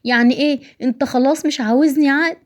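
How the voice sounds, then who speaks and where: A woman's voice: high voice, fairly even intonation, rapid-fire, voice pushed up, natural voice. A woman voicing a lovesick, anxious young wife in an audio story, pleading urgently with an Egyptian accent. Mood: hurt.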